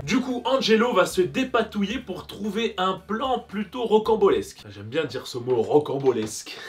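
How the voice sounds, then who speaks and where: A man's voice: high-pitched, very animated, normal speed, faint music behind. A young man giving casual, slangy, joking commentary as a video creator. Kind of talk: casual comedic commentary with skits.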